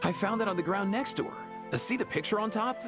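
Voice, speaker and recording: A man's voice: high voice, expressive, fast. A man playing a laid-back young veterinarian in a video game, casual, wry and a little weary. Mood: curious. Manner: casual, sighing, hesitant asides. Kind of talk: video game character acting. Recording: studio voice over game music.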